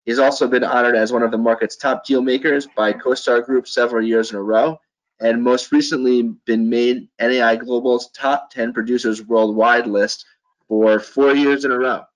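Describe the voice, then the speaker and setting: A man's voice: medium pitch, steady tone, moderate pace, poor audio. A man hosting a business podcast, giving an upbeat welcome and reading out his guest's background. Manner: upbeat, reads a guest bio aloud. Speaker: podcast host. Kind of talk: podcast introduction and interview.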